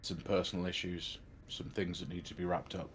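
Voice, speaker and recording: A man's voice: low voice, flat delivery, brisk, a little hiss or hum. A man playing a tabletop roleplaying game, speaking in character in a laconic, understated, hesitant way.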